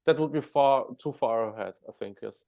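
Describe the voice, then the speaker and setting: A man's voice: medium pitch, a little fast, gravelly, darkish tone. German-accented man explaining step by step. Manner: restarts words often, explains step by step. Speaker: guest. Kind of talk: explanatory interview talk.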